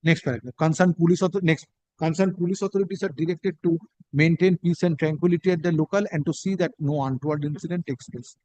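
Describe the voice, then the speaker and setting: A man with an Indian English accent dictating a formal court order as a judge, in a halting, deliberate manner with punctuation spoken aloud. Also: on the high side, dark tone, dry recording, rough recording.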